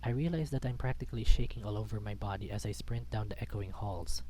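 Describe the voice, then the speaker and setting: A man's voice: lowish voice, fast, dark tone, a little hiss or hum. A man reading a visual novel aloud as dramatic scripted narration. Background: background music.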